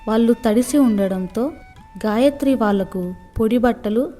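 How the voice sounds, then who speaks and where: A woman's voice: a little high, normal speed, mellow, soft background music. A woman reading a scripted moral story for children as a storyteller.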